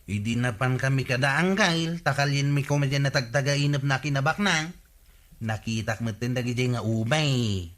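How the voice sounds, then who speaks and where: An actor playing a boastful, easygoing village man in a radio comedy drama, with a teasing, drawn-out delivery. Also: mid-range voice, fairly bright tone, close to an everyday voice. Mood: explaining, coaxing.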